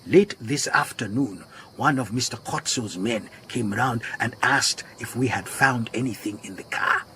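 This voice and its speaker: An actor playing a worried, earnest garage owner in a radio drama, with a Southern African accent, speaking gravely and deliberately. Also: male voice, mid-range voice, pushed and bright, slight background noise. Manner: grave, deliberate, repeats phrases for emphasis.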